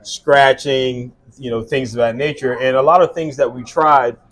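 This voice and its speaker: A man's voice: medium pitch, steady tone, moderate pace. Casting: man in his thirties, from South Sudan and Liberia, earnest and easygoing, guest on an interview. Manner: warm, earnest storytelling with frequent fillers. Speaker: guest, skincare business owner. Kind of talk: casual interview conversation.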